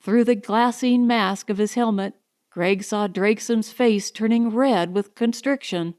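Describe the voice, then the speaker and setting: A woman narrating a science fiction audiobook in a measured, deliberate, dramatic way. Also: mid-range voice, darkish tone.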